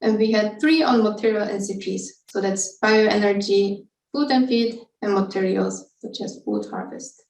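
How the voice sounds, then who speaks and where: A woman's voice: medium pitch, a little slow, vocal fry, darkish tone. A woman researcher giving an explanatory scientific webinar presentation, speaking somewhat hesitantly. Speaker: researcher presenting study methods. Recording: echoey room, distant from mic.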